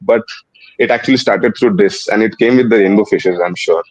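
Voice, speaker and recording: A man's voice: lowish voice, fairly quick, dry recording. A man calling into a live stream over video, talking hesitantly with restarts and nervous laughs. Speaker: caller. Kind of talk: casual video call chat. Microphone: video call. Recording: dull lo-fi video call audio.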